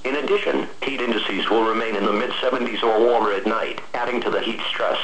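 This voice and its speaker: A synthetic man's voice with an American accent reads a scripted weather bulletin as a radio announcer, in a formal, measured, impersonal way. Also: fairly low pitch, fairly bright tone. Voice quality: synthetic text-to-speech voice. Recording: noisy, echoey weather radio broadcast.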